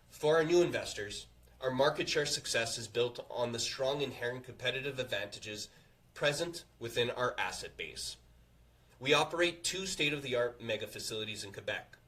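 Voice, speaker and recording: A man reading a scripted earnings presentation to investors as a company executive, in a formal, confident, measured way. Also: mid-range voice, bright, forceful tone.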